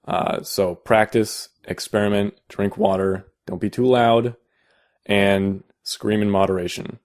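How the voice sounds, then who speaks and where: A young man with a deep voice, explaining casually in a how-to video, halting with many fillers and restarts. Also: steady tone, darkish tone. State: out of vocal shape. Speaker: vocal tutorial maker.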